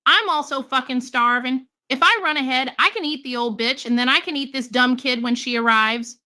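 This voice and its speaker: Casting: a woman playing a crude, foul-mouthed wolf with a high, bright, sneering voice, dry close sound. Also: normal speed. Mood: scheming. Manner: swearing, sneering.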